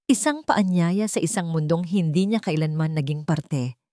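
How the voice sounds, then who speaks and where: A woman storyteller with a fairly low voice reads scripted romantic drama. She delivers it as dramatic narration. The sound is dry, with no room around the voice. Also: expressive, normal speed, mellow, no echo.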